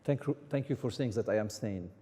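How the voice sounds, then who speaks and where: A man from Lebanon speaks onstage at a conference. His voice is very dark, and a long hall reverb trails behind it. He argues with quick, emphatic rhetorical questions. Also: lowish voice. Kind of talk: onstage conversation.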